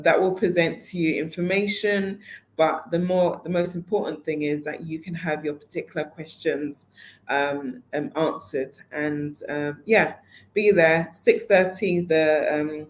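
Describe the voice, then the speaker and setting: A woman with a slightly low voice addresses her audience as the organizer of a network event. Her delivery is informal and conversational, full of fillers and self-corrections. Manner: conversational, many fillers and restarts. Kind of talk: casual online talk to an audience.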